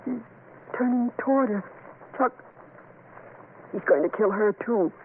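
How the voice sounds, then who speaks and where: A woman's voice: on the high side, a little slow, lightly acted. An actress playing a frightened wife and protective mother, breathless and melodramatic, in old radio horror. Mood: horrified. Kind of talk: 1970s radio horror acting. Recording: noisy, tinny old radio recording.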